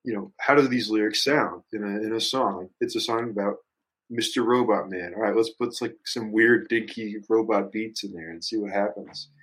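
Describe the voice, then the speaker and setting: A man's voice: fairly low pitch, moderate pace, fairly bright tone, slightly rough, rough recording. A man in his early twenties chatting casually and reflectively as a musician guest, rambling thoughtfully with youthful slang. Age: early 20s. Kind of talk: casual reflective interview chat.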